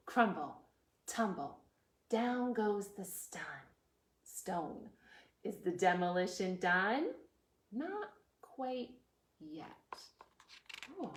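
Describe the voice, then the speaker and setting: A woman hosting a preschool storytime, reading a picture book aloud in a sing-song way and putting questions to young listeners. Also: on the high side. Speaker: children's librarian, storytime host. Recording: roomy, echoey indoor sound.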